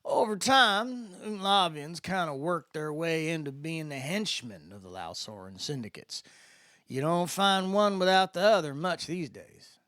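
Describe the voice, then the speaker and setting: Man acting a drawling spacefarer pal, high-pitched, swooping intonation, unflappable, folksy, sci-fi audiobook. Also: animated, measured pace, a bit bright, no echo, studio quality. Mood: folksy, explaining. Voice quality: Southern-style drawl.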